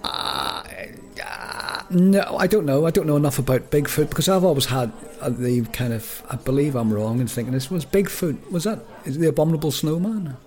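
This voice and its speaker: A man's voice: on the high side, expressive, normal speed, hardly any pauses, darkish tone. A man co-hosting a podcast, chatting casually and jokily, often stumbling and restarting his sentences. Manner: chatty and jokey, with frequent stammered restarts. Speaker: podcast co-host. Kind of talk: casual chat.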